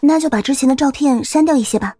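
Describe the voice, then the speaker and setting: A woman's voice: high-pitched, fast talker, mellow, dry recording, soft background music, lightly acted. A woman playing a smitten, eager young college girl in an audio drama, coy and a little pushy. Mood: casually dismissive. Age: young adult.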